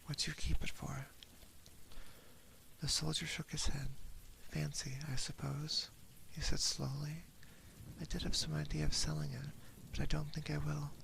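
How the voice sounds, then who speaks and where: Hushed, very creaky male ASMR reader. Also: on the high side, flat delivery, moderate pace, slight background noise, low-quality recording. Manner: hushed, half-whispered, close delivery. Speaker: ASMR streamer reading a story aloud. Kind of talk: ASMR reading of a classic horror short story. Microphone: binaural ASMR microphone, very close.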